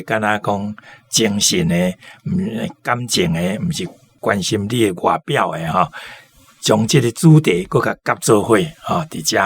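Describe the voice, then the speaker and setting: A man with a Taiwanese accent talking off the cuff about a story in a halting, rambling way. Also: on the low side, creaky, a bit bright, slightly rough. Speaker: literary discussion speaker. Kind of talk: unscripted talk about a story.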